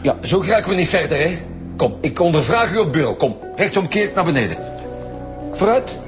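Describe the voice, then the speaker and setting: A man's voice: fairly high pitch, sing-song, brisk, music in the background. An actor playing an impatient male chief inspector with a Flemish accent in a radio drama. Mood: stern. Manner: brusque and impatient. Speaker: detective lead.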